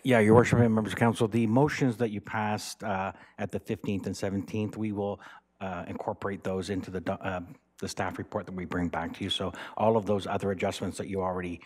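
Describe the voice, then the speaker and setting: A very deep, husky voice belongs to a town staff member answering council. The reply is formal and addressed to the chair. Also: flat delivery, fairly quick, a little mellow.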